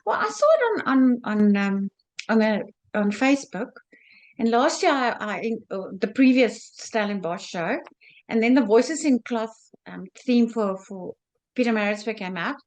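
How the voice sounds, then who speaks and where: A South African woman being interviewed about her quilt, speaking haltingly with many fillers and restarts. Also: on the high side, expressive. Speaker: guest quilter. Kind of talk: video call interview.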